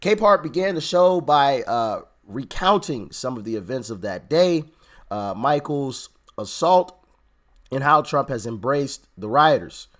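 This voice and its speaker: A man with a hoarse, fading voice hosting a reaction commentary, sarcastic with laughing asides. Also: medium pitch, animated, a little slow. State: hoarse, losing his voice. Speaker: political commentary YouTuber.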